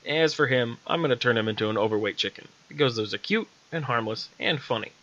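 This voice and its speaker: Wry storybook witch, sassy and casual, bright tone performed by a man, slightly dull recording. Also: medium pitch, animated, fast, natural voice. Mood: scheming.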